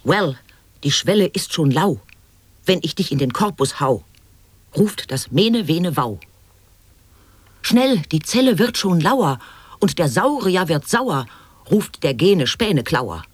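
An actor in a radio play voicing an eccentric declaimer of absurd wordplay and rhymes in theatrical, deliberate German stage delivery. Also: mid-range voice, very animated, husky, no echo, exaggerated voice. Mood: playful, declaiming. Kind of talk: avant-garde radio drama monologue, sound poetry.

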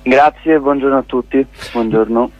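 Young man, twenties, mid pitch, phone-in guest, thoughtful and halting, dry sound. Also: steady tone, a little mellow, dry recording, muffled recording. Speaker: playwright and director guest. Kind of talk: phone interview. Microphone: telephone line.

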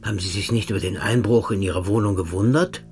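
A man's voice: on the low side, fast talker, faint music behind, lightly acted. A man playing a smug, sinister secret agent. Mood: coldly mocking. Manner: calm, mocking, sinister, drops to hushed tones. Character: cold, smug male agent of a secret service, middle-aged. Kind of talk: radio play acting.

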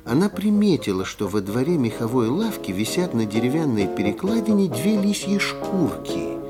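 A man narrating an animal fairy tale in an expressive, scripted storytelling style. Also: mid-range voice, moderate pace, over loud music, close to an everyday voice. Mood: vivid storytelling.

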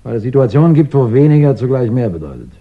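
An actor playing a thoughtful, doubting police chief in a 1960s German radio play, speaking deliberately. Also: male voice, medium pitch, big pitch swings. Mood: pensive. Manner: deliberate, pensive, hesitant. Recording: dull 1960s radio recording.

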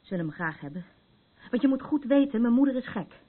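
An actress in a radio play, playing a troubled, battered woman who is anxious and rambles haltingly in defence of her abuser. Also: on the high side, lively intonation, slightly rough. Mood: agitated, confiding. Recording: muffled, phone-like narrow band.

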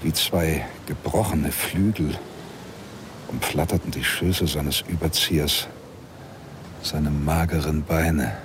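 A man's voice: a bass voice, fairly even intonation, slow, noisy background. An actor playing a probing, insistent male lawyer who tells his own story in a German radio play, with a dry, pressing manner. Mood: measured, observant. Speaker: radio drama actor, narrator.

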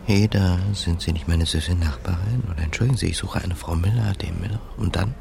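Very gravelly, deep inner voice. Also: male voice, a little fast, background noise. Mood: panicky. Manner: muttering to himself. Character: nervous small-time crook's urgent inner voice. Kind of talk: inner monologue in a radio crime drama.

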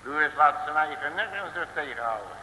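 An actor playing a bossy, boastful but cowardly detective in a mid-century comic radio serial, blustering in colourful slang. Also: male voice, fairly high pitch, animated, normal speed, sharp tone. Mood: falsely brave. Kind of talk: 1950s-60s comic radio serial acting. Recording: old radio recording, echoey, faint noise.

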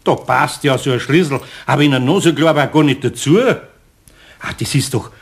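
A man's voice: medium pitch, normal speed, vocal fry, lightly acted. A man playing a kindly but grumbling older master carpenter with a Bavarian accent in a children's radio play, speaking in a homely, patient way.

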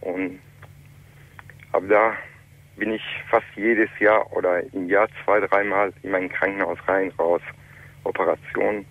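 A man in his late thirties from Iran, calling in to a radio talk show and telling his story in halting, German. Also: low-pitched, little pitch movement, creaky, dry recording. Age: 30s. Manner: halting, plain non-native German. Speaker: caller. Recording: muffled telephone line.

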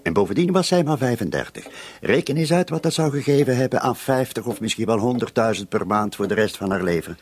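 A man's voice: mid-range voice, lively intonation, brisk, darkish tone, close to an everyday voice. A man acting a suspicious, pensive police commissioner in a radio play. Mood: suspicious, reasoning.

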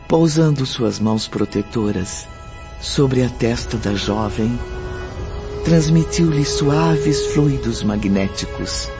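A man's voice: lowish voice, darkish tone. A man narrating a radio drama from a script. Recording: dull lo-fi audio under music.

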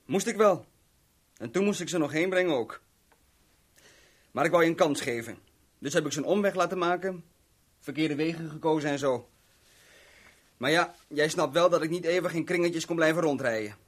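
Actor as cocky, husky small-time crook. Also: male voice, a little high, lightly acted. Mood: chummy, explaining. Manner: mock-friendly, boastful, unhurried storytelling. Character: small-time crook Tony, cocky, chummy, scheming kidnapper. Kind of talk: 1970s Dutch radio drama acting.

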